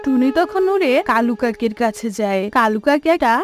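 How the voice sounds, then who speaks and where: A woman's voice: fairly high pitch, expressive, moderate pace, soft background music. A woman narrating a scripted children's cartoon story.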